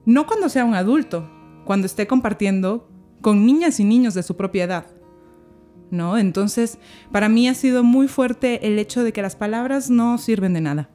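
A young woman, a podcast guest speaking in a thoughtful, measured way and asking rhetorical questions. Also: on the high side, animated, warm tone, faint music behind. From Peru. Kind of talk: reflective conversation.

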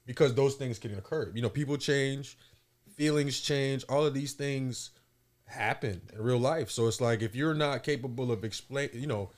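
Male podcast guest just chatting, mid pitched, earnest and thoughtful. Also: moderate pace. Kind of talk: casual conversation.

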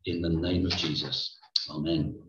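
Male worship leader, deep voice, earnest prayer, dull distant church sound. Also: steady tone, unhurried, a bit bright. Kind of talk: spoken prayer. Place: church hall. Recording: echoey lo-fi church recording from far off.

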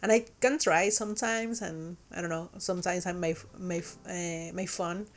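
A woman's voice: fairly low pitch, steady tone, normal speed. A young adult woman from Mexico with a Mexican accent and Spanish as her first language, hosting a casual podcast chat and speaking hesitantly, with many fillers and restarts. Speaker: podcast host.

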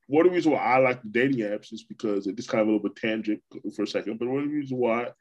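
A man from New York hosting a podcast, talking in a relaxed, casual way with lots of repeats and restarts. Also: mid-range voice, very fast, highs cut off. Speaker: podcast host. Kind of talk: casual conversation.

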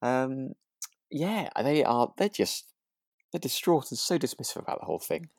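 A British man co-hosting a podcast, chatting casually in a musing, halting way. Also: mid-range voice, sing-song, darkish tone, dry recording, clean studio sound. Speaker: podcast co-host. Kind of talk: casual chat.

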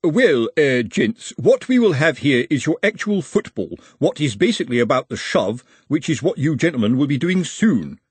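A man's voice: fairly high pitch, sing-song, moderate pace, hardly any pauses, husky, no room sound, voice pushed up, exaggerated voice. A man voicing a bumbling, self-important male servant in a storybook, wordy and obsequiously deferential. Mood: nervous, officious.

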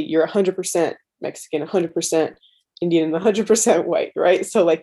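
Mellow earnest teen girl. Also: low-pitched, slightly rough. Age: teens. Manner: earnest, frequent "like". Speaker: student panelist. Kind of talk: casual reflective sharing.

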